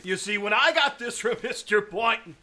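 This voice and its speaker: A man's voice: high voice, moderate pace, sharp tone. A man playing a flustered, apologetic school principal who stammers and repeats himself, in a live old-time radio comedy. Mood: flustered pleading. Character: flustered, apologetic male school principal, blustering with his superior. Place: small-town theater stage, live performance. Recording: noisy live theater stage recording.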